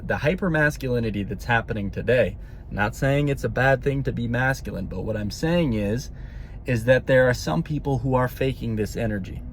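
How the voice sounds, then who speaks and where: A man's voice: medium pitch, slight background noise. A man talking to his viewers in a casual monologue, earnest and rambling, often tagging his sentences with "okay?" and repeating words. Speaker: spiritual vlogger.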